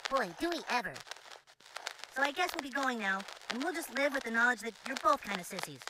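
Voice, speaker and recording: An actor voicing an excitable, goading schoolboy in an animated comedy. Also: mid-range voice, fairly quick, natural voice. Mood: sheepish, goading. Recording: noisy degraded VHS-style cartoon audio.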